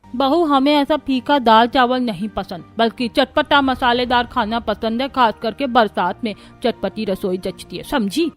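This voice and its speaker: A woman's voice: fairly high pitch, measured pace, faint music behind, close to an everyday voice. A woman voicing a demanding, fussy old grandmother-in-law in a family cartoon, with a bossy, scolding manner. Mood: bossy, impatient. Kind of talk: Hindi family cartoon dubbing.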